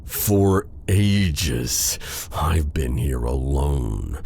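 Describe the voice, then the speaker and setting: Man acting a very deep, slow dark sorcerer. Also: expressive, creaky, breathy edge, heavily acted. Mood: bitter, brooding. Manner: theatrical, silky, taunting endearments. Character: suave, menacing dark male sorcerer, tender captor. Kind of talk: ASMR fantasy roleplay acting.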